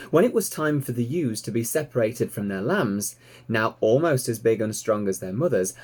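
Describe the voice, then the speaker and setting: Expressive, animated man reading a story. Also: mid-range voice, breathy edge. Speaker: storybook reader, teacher. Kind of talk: expressive children's story narration.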